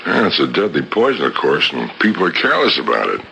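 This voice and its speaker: Very deep-voiced, skeptical police captain. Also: male voice, huge pitch swings, quick pace, vocal fry, pushed and bright, full character voice. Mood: matter-of-fact. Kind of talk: 1970s radio drama acting. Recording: noisy old radio broadcast recording.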